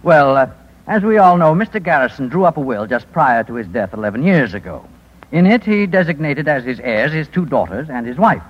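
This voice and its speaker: A man's voice: medium pitch, very animated, fairly quick. A man acting a formal, hesitant, apologetic family lawyer in a 1950s radio drama. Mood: formal, uneasy. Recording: muffled, reverberant vintage radio recording.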